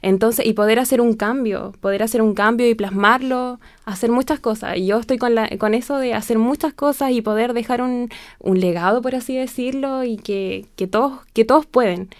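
A woman's voice: a little high, normal speed, a little mellow, dry recording, studio quality. A young woman with a southern Chilean accent, a student guest chatting informally in an interview, rambling and repeating phrases. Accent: southern Chilean Spanish. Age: young adult. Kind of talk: casual spoken interview.

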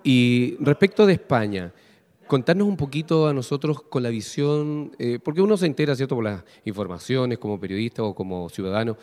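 A man's voice: medium pitch, a little fast, darkish tone. A Chilean man hosting a radio interview, asking long, probing questions full of fillers. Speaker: radio host. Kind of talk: interviewing a guest.

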